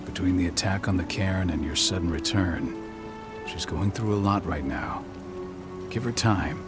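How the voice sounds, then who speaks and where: Game casting: authoritative pack chief, deep voice, calm, measured command, music behind. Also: male voice. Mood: concerned. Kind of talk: video game cutscene acting.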